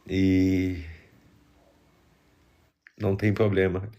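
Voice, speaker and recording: A man's voice: low-pitched, completely flat delivery, very slow, dark tone, clean tone, lo-fi sound. A Brazilian man hosting a casual live stream, chatting and greeting viewers while congested with a cold.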